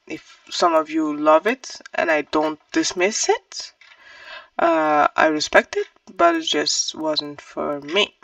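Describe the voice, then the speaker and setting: A woman's voice: lowish voice, creaky, a bit bright, no echo, far from the mic. A woman giving a casual, opinionated book review in an informal, emphatic way.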